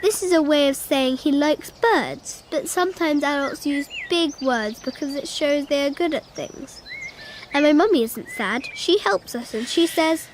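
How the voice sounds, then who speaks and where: High-voiced, earnest little girl. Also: a little hiss or hum. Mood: earnest wonder. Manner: childlike, earnest, halting over long words. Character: cheerful, literal-minded six-year-old girl narrating. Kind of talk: radio drama narration.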